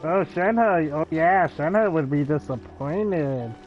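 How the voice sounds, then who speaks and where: A dull, lo-fi mic carries a high-voiced man over faint game music. He gives casual gameplay commentary in very slow, sparse, halting bursts. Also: lively intonation. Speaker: livestreamer.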